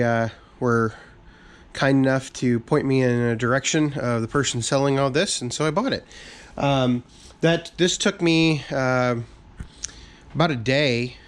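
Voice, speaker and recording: A man hosting a casual update video, talking in a relaxed, rambling way with many fillers and restarts, his voice a bit raspy from a cold, in a workshop full of parts. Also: mid-range voice. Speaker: channel host.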